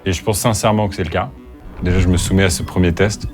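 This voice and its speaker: Low-voiced man, candid and hesitant. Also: steady tone, fast talker, music in the background. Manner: hesitant, candid, many fillers and restarts. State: a bit nervous. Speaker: youtuber host. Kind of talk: casual talk, answering interview questions.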